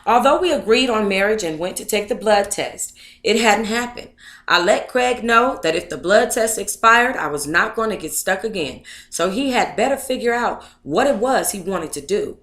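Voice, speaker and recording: An American woman with a mid-pitched voice, recorded in an echoey space. She hosts a book club livestream, moving between casual chat and reading aloud. Her way of talking is sassy and colloquial. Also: lively intonation, normal speed, fairly bright tone. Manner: sassy, colloquial, addresses listeners as honey and baby. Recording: echoey, roomy sound.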